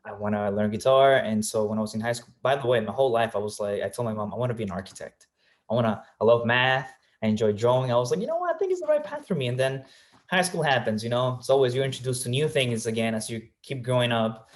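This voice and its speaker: A man's voice: medium pitch, fast talker. A young man from Colombia answering interview questions in a thoughtful way, with many fillers and restarts. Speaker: guest, real estate agent.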